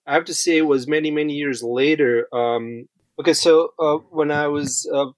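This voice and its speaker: A man's voice: mid-range voice, no room sound, low-quality recording. A man from rural Bosnia telling his story as a podcast guest, reflective and feeling his way through sentences. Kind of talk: conversational interview storytelling.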